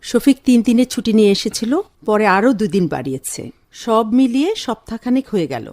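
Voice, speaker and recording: A woman's voice: fairly high pitch, expressive, fairly quick, a little mellow. A woman acting a bustling, fussing, reassuring elder sister in a Bengali radio drama. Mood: kindly.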